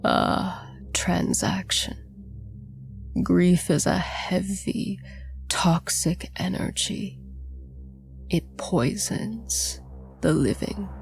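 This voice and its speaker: A woman voicing a calm, ancient male ghoul with a raspy whisper in a storybook horror tale. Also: on the low side, exaggerated voice. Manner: slow, calm, breathy whispering.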